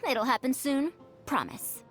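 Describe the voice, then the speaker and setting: A woman's voice: high voice, animated, measured pace, fairly bright tone, soft background music. A woman voicing a proud, fussy century-old scholar with a youthful girlish voice, pompous and lecturing, for a video game. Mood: musing. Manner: pompous, lecturing, old-fashioned elder phrasing. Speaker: game character voice. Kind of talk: animated video game voice lines.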